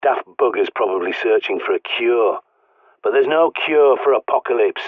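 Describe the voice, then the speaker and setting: An actor playing a gruff ex-army male survivalist with a British accent, giving blunt orders over a radio link. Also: medium pitch, expressive, moderate pace, no room sound. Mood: commanding. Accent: British English. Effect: radio transmission filter. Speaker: radio guide character. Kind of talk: video game voice acting. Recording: tinny radio-filtered dry studio voice.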